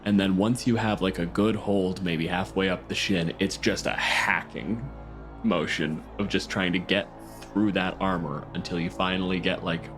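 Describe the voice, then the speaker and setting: Male game master, lower register, narrates and referees tabletop play over clear background music. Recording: music playing under the voice.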